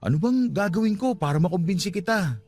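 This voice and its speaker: A man's voice: high-pitched, animated, quick pace, slight background noise. An actor playing a patient, regretful young father with a Filipino accent, earnest and respectful, in a radio drama. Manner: soft-hearted, earnest, respectful.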